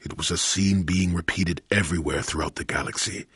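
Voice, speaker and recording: An actor playing a gruff, rueful old former Jedi in a video game, an elderly storyteller with a gravelly voice and a wry, rambling, dramatic way of talking. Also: male voice, deep voice, fairly even intonation, brisk, a bit bright, no echo, clean studio sound, full character voice. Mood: regretful, sorrowful. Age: older. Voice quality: gravelly, husky. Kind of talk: scripted video game voice acting.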